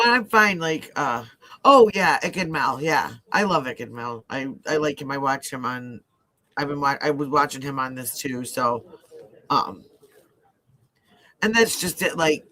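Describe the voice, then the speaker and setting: A man's voice: fairly high pitch, bright, forceful tone. A man with a mixed Midwestern accent, partly Ohio and partly Southern, chatting loosely on a live true-crime stream with lots of fillers and restarts. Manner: rambling, lots of fillers and self-restarts, easy laughs. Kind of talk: casual live-stream chat.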